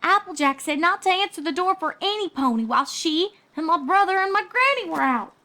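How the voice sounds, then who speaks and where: An earnest little earth pony filly speaks very high and brightly. She sounds young and jumpy, a sincere cartoon storybook character. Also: lively intonation, a little fast, heavily acted. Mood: wary.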